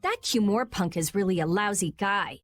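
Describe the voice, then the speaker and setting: An actress playing a blunt, sharp-tongued but caring young girl in a video game. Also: medium pitch, moderate pace, faint music behind, natural voice. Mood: scornful. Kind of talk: video game cutscene acting.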